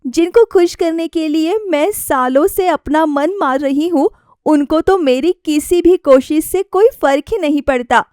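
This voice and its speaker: A woman's voice: high-pitched, unhurried, a little mellow, no room sound, clean studio sound. A woman voicing a wistful young daughter-in-law eager to please, earnest and plaintive, in a story. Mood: hurt, plaintive.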